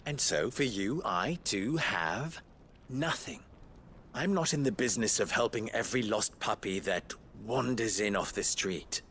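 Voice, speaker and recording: An actor in a cinematic video game playing a young merchant boss who is sly, smug and condescending, with mocking, mannered rhetorical questions. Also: male voice, fairly low pitch, animated, measured pace, a bit bright, a little hiss or hum. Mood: smug lecturing.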